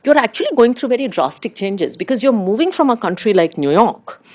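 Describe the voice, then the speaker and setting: A middle-aged woman with an Indian accent and Urdu as her first language, telling personal stories conversationally as an interview guest in an animated, anecdotal way. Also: medium pitch, a little fast. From India, raised abroad in diplomatic postings. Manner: animated, anecdotal, acts out quoted remarks.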